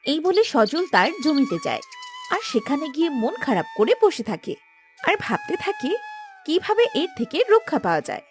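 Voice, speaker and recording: A woman's voice: high voice, wildly swooping pitch, moderate pace, over music. A woman narrating a scripted fairy tale as a storyteller.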